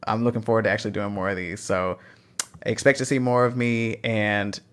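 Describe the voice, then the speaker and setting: Man, Texan accent, slightly low voice, casual chat on a phone live stream, upbeat coaching tone. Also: steady tone, brisk. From Texas. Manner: encouraging, reads out and answers chat questions. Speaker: language teacher hosting a live Q&A. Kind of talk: casual livestream Q&A.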